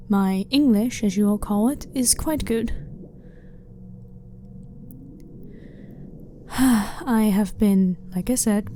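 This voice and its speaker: A woman acts out a female alien researcher in a sci-fi ASMR roleplay, with a dark, relaxed tone at a middle pitch. She is blunt and clinical but curious and unthreatening, speaking softly and formally to the listener. Noticeable ambient noise sits behind the voice. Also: lightly acted. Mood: calm, explaining. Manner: blunt, clinical, matter-of-fact, slightly formal. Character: blunt, curious female alien scientist, calm and not hostile. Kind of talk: ASMR roleplay, soft-spoken monologue to the listener.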